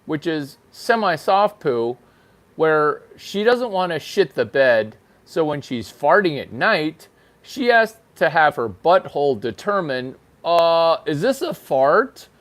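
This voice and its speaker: A man talks slowly through a crude, rambling comedy riff, delivering bathroom humor as if giving a serious lecture. His voice sits a little high for a man and swings widely up and down, with sharp leaps in pitch when he stresses a point. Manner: animated pitch jumps for emphasis. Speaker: channel host. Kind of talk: crude improvised comedy monologue.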